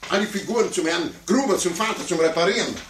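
An actor playing a pompous, condescending sore loser, stammering and blustering in a 1950s radio play. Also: male voice, sits high, quick pace, lightly acted. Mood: angry. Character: pompous adult man, a blustering, sore-losing chess player. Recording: roomy, noisy 1950s radio recording.

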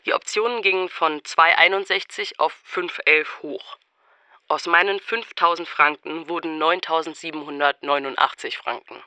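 A man's voice: on the high side, steady tone, moderate pace, bright, forceful tone, clear, resonant tone, no echo. A man reading a scripted, diary-like first-person narration close to the microphone. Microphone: close, dry microphone.

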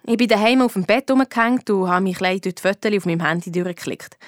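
A woman's voice: mid-range voice, fast talker, no room sound, studio quality, natural voice. A woman voicing a young girl detective with a Swiss accent, eager and blunt, telling her story colloquially in a children's radio play. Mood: frank, narrating.

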